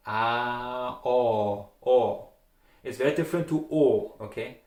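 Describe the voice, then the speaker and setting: A man's voice: mid-range voice, slow pace, darkish tone, reverberant. A man from Austria with an Austrian German accent, teaching pronunciation in a patient, demonstrative way and often checking in with "okay?". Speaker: dialect teacher. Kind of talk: pronunciation lesson.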